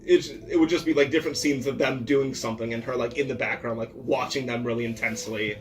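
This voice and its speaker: A man's voice: medium pitch, steady tone, quick pace, recorded from a distance, low-quality recording. A man playing in a tabletop roleplaying game, telling his character's story in a jokey, informal way. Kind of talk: casual chat, improvised character storytelling. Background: ambient music.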